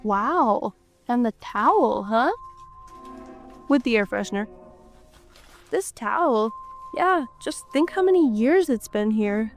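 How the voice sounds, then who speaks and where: A fairly high-voiced woman with a stuffed-up, congested sound from a cold. She gives a chatty, excitable guided tour of an abandoned house, often exclaiming in amazement. Faint music plays under her voice. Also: takes it slowly, pauses often. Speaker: urban explorer vlogger. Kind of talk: casual guided tour narration.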